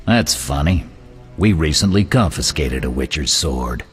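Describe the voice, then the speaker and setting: An actor playing a gruff, scornful jail captain in a video game, giving orders. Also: male voice, extremely low pitch, animated, unhurried, faint music behind, full character voice. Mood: curt. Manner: commanding, scornful. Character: gruff, bossy adult male prison guard captain.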